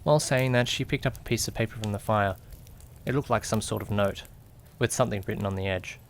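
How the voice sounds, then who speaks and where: A man's voice: lowish voice, fast, slight background noise, lightly acted. A man playing a curious, jittery young detective's companion who narrates a mystery audio drama with suspense and questioning asides. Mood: puzzled, uneasy. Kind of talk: amateur audio drama narration in first person.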